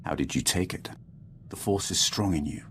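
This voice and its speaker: A man playing a proud, stern Sith lord in a video game, speaking in a formal, grandiose and deliberate manner. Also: sits low, a bit bright, a little hiss or hum. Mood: ominous. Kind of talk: video game dialogue acting.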